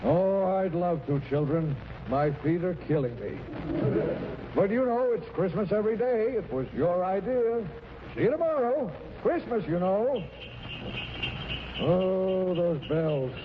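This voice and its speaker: High-voiced, swooping weary Santa Claus. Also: male voice, expressive, unhurried. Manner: theatrical, ho-ho-ing, long-suffering. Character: jolly, weary old male Santa Claus. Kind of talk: 1940s radio comedy acting. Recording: noisy, phone-like 1940s radio recording.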